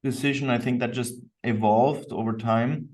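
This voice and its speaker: A man's voice: mid-range voice, fairly even intonation, moderate pace, darkish tone. A man from Austria speaking as a podcast guest, explaining himself in an easygoing, reflective interview style. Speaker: podcast guest, company co-founder. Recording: distant microphone.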